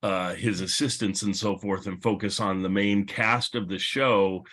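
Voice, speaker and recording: A man with a fairly low voice moderates a relaxed panel discussion. He talks conversationally and sounds keen and appreciative of the material. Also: measured pace. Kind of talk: casual panel discussion about old radio.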